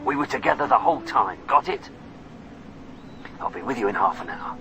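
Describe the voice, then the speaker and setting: A man playing a controlling schemer in a radio drama, speaking in a commanding, deliberate way. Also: medium pitch, lively intonation, vocal fry, music in the background. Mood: cold, insistent. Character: controlling, scheming man coaching his accomplice. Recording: very noisy recording.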